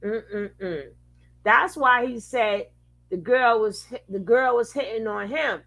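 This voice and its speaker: A woman's voice: fairly high pitch, unhurried, vocal fry, sharp tone. A woman commenting casually on a live stream, skeptical and wry, with laughing asides.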